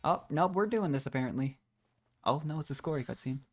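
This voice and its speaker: A man's voice: a little high, lively intonation, brisk. A man giving casual, informal live reactions as a game streamer, muttering along to the story. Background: game music.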